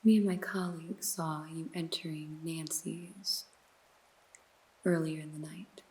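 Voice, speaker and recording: A woman voices a teasing noir detective who interrogates in soft, close whispers. She takes her time, and her voice sits a little low. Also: fairly even intonation, a little slow. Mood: teasing, probing. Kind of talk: ASMR noir roleplay.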